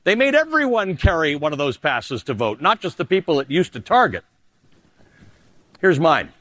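A middle-aged man from Canada, a news anchor delivering emphatic political commentary and a personal essay, dropping low for personal asides. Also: big pitch swings, normal speed, a bit bright.

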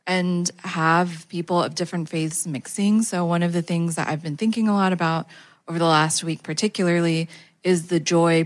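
A woman speaking on a panel in a conversational way, with frequent hesitations. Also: fairly low pitch. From United States. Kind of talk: panel discussion remarks.

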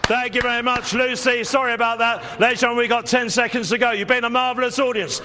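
A man hosting a televised art auction as auctioneer, calling bids in a rapid, repetitive chant and urging buyers on. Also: very high voice, level tone, background noise.

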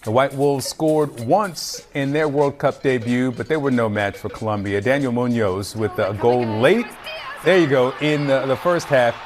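A man hosting a news program, interviewing a guest and then reading sports headlines in a brisk, conversational way. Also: medium pitch. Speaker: news anchor.

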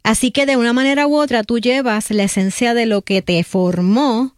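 A woman co-hosting a talk show, calmly explaining her thoughts in a reflective way. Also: on the high side, normal speed, no room sound, clean studio sound. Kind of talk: casual talk show discussion.

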